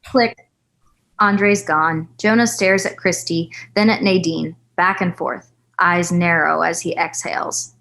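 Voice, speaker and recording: Lowish-voiced woman narrating stage directions. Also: fairly even intonation, measured pace, gravelly, fairly bright tone, low-quality recording. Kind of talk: scripted narration of a screenplay table read.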